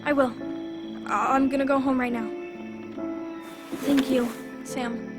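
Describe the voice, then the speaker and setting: A woman's voice: high-pitched, flat delivery, unhurried, loud music behind the voice. A woman voicing a stubborn, wounded young girl in a video game, earnest and emotional. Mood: subdued, relieved. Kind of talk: video game dramatic acting.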